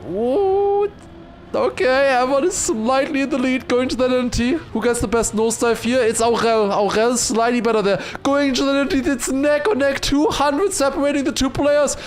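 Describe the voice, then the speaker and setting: A man livestreaming excited esports commentary mixed with casual chat, raising his voice to a shout at big moments. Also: very high-pitched, moderate pace, slight background noise. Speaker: esports watchparty streamer and commentator.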